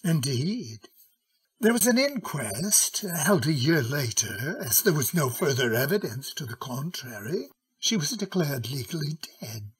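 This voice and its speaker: A mild, courtly middle-aged lawyer in an old-time radio drama. His voice is very husky and swings expressively. He speaks slowly, with courteous, cautious hesitation. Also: on the high side, big pitch swings, exaggerated voice, rough recording. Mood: guarded, grave.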